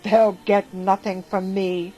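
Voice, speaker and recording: A woman voicing a stingy, hard-working male ant who shouts insistently, with faint music behind. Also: mid-range voice, flat delivery, slow pace, close to an everyday voice, poor audio. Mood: shouting.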